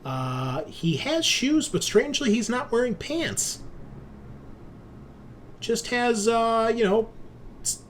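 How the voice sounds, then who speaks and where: A man narrating a tabletop roleplaying game as game master, describing scenes in a halting, improvised way. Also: very high-pitched, lively intonation. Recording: faint background noise.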